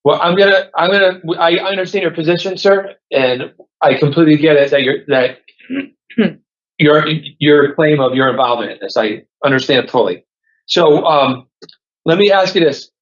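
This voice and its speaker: Patient male parole board questioner. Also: a little high. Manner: measured, patient, asks repeated questions. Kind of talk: formal questioning. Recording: dull lo-fi call audio.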